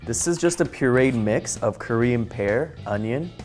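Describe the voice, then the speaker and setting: A man's voice: medium pitch, animated, a little slow, a little mellow, soft background music. A young American man from Los Angeles, explaining step by step how to make a family dish while chopping in a small home kitchen. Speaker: kimchi workshop teacher. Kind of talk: casual cooking demonstration.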